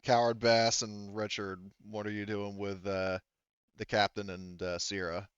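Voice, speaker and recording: Male game master, lowish clear voice, casual rambling narration, informal, dry slightly muffled recording. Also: flat delivery, unhurried, no echo. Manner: informal, rambling, often swearing.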